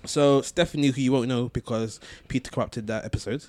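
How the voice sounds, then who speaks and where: A man hosting a casual podcast, excitable and slangy, often repeating words as he bantering with friends. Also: mid-range voice, fairly quick, no echo. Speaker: podcast host.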